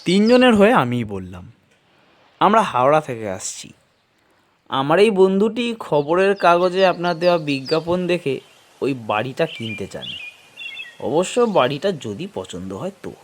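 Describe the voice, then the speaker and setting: A man's voice: on the high side, very animated, measured pace, a little hiss or hum. A man narrating a scripted horror story in the first person, in a dramatic storytelling style.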